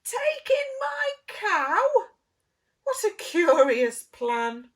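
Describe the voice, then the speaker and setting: A woman's voice: very high-pitched, very animated, drawn-out words, pitched above the performer's own voice, exaggerated voice. A woman voicing a flustered, grumbling little old lady from a storybook, plaintive and exclaiming, with stretched-out words. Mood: astonished.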